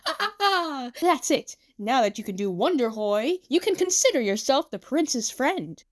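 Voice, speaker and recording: Woman voicing a theatrical, excitable young male performer. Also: high-pitched, normal speed, pitched above the performer's own voice. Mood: showy, encouraging. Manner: dramatic, exclamatory, stammering when flustered. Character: theatrical, big-hearted young male performer playing prince.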